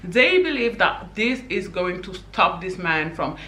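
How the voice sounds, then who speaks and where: A woman's voice: on the low side, big pitch swings, unhurried, sharp tone, echoey room. A woman from Senegal giving her opinions in a casual vlog, talking emphatically with many "you know"s. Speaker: vlogger.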